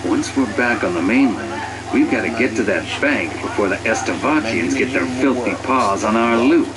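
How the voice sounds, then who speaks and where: An actor playing a wry, grumbling tank commander sergeant who narrates a game cutscene in slangy, sardonic words. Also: male voice, mid-range voice, animated, normal speed, close to an everyday voice, poor audio. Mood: scheming. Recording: engine-like noise behind the voice.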